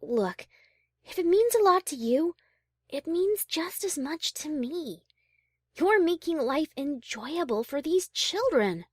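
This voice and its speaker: Refined mare cellist, played by a woman, audio drama. High, lively intonation, tender and earnest. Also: slow pace, dry recording, clean studio sound, exaggerated voice.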